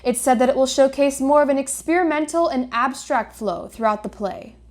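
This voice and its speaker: A woman's voice: high-pitched, fairly quick, darkish tone. A young woman reporter reading a scripted news narration.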